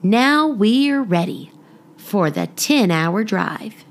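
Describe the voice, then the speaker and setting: A woman voicing an excitable storybook child who exclaims eagerly about a family holiday. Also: mid-range voice, extremely slow, vocal fry, exaggerated voice. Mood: eager, excited.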